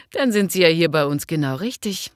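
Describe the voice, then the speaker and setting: A woman plays a friendly, frank female boss in a language-learning dialogue. Her voice is low and lively in intonation, with a cordial, businesslike manner. The sound is dry. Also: no echo.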